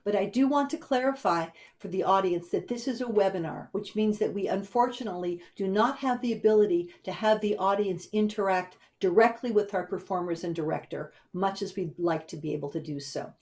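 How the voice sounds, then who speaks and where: Woman, event host, middling pitch, formal welcome speech, measured delivery. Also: a little mellow. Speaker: humanities center director introducing an event. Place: online webinar.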